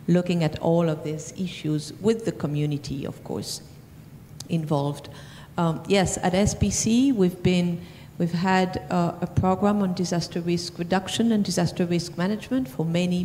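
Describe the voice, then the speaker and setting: A woman with a French accent answering as a panelist at a conference, measured and full of ums and restarts. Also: fairly low pitch, mellow. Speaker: panelist from a regional organization. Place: large conference hall. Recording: distant hall reverb, faint noise.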